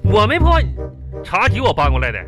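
An earthy Northeastern Chinese husband, bickering and clowning through a radio comedy. His voice sits notably high for a man and leaps around in pitch. He stammers his protests with exasperated bluntness over a music bed. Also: huge pitch swings, brisk. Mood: complaining. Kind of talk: live comedy radio drama acting.

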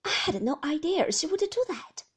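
A woman's voice: very high-pitched, big pitch swings, normal speed, pitched above the performer's own voice. A woman voicing a gushing, emphatic lady. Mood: dismayed. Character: brisk, upper-class sister-in-law, mortified.

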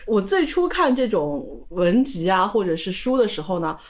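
A woman's voice: mid-range voice, sing-song, normal speed. A woman co-hosting a talk show, chatting casually and retelling things in everyday spoken language. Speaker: podcast co-host. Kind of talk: casual chat with anecdotes and retelling.

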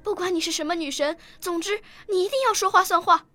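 A woman's voice: very high-pitched, fast talker, bright, forceful tone. A woman playing an earnest, emotional nine-year-old girl in a radio drama, with a childlike, eager manner. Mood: earnest, insistent. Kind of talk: radio drama acting with first-person narration.

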